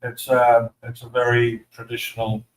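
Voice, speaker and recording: A man who runs a crepe restaurant, chatting casually about his menu and pausing often with fillers. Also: medium pitch, completely flat delivery, echoey room, distant mic, low-quality recording. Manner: hesitant, many uh fillers while describing dishes. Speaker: restaurant owner. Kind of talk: casual chat.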